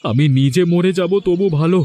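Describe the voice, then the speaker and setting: A humble, desperate village fisherman from a cartoon, acted by a man, pleading in a high voice. He speaks plaintive, deferential Bengali with a West Bengal accent, over background music. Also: moderate pace, mellow, close to an everyday voice. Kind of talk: cartoon voice acting.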